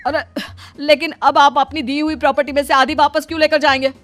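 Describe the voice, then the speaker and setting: A woman's voice: high-pitched, flat delivery, fairly quick, a bit bright, faint music behind. A woman voicing a greedy, whiny young husband in a cartoon moral story, protesting in an aggrieved way as if cheated.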